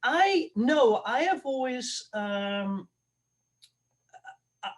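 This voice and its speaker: A man, an opera tenor, talking as a guest on a video call, halting and thoughtful with many restarts. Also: very high voice, lively intonation. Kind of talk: conversational interview over a video call.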